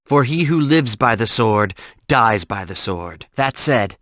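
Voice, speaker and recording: An actor voicing an earnest, dutiful soldier in a video game, with a formal way of speaking. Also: male voice, medium pitch, expressive, a little slow, fairly bright tone, no echo, studio quality. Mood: sincere. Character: earnest, dutiful male soldier leading the front line.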